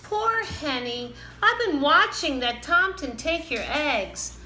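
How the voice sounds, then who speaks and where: A woman's voice: high-pitched, expressive, slow, sharp tone, voice pushed up, full character voice. A woman voicing a kind, reassuring little male hedgehog in a children's storybook. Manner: sympathetic, reassuring. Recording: roomy, echoing, some background noise.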